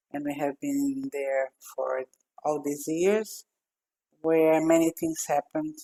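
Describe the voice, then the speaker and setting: A woman in her sixties from Brazil with a Brazilian accent, speaking thoughtfully with pauses as a podcast guest. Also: deep voice, creaky, dark tone, rough recording. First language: Portuguese. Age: 60s. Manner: slow, thoughtful speech with pauses and fillers. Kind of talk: podcast interview. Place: home office with glass wall, remote.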